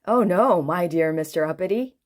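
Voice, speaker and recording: A woman voicing a courteous, reassuring and unflappably cheerful gentleman from a children's storybook. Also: on the low side, lively intonation, normal speed, natural voice.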